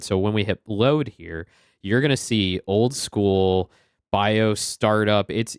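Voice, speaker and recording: A man's voice: low voice, smooth, no echo, clean studio sound. A man streaming a retro game, chatting casually and greeting viewers by name.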